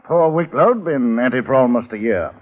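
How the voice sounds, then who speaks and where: An actor playing a brisk, clipped male police constable at a jungle outpost in a 1950s radio drama. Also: mid-range voice, lively intonation, lightly acted. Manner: brisk, clipped, slightly hesitant. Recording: lo-fi old radio broadcast.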